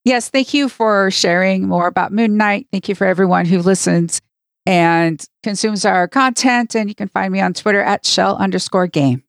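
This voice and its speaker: A woman's voice: mid-range voice, normal speed, gravelly, dry recording, studio quality. A woman signing off casually as a podcast co-host. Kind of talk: casual sign-off.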